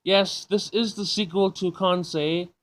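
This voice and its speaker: A man's voice: high-pitched, steady tone, measured pace, a bit bright. A man hosting a casual gaming video, reading game text aloud informally and stumbling over words, with game music behind him.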